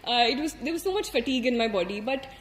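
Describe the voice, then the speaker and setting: A woman's voice: fairly high pitch, expressive, rapid-fire, echoey room. A young woman in her twenties from India with an Indian English accent, earnestly sharing exam preparation advice with many fillers and restarts. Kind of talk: reflective advice talk.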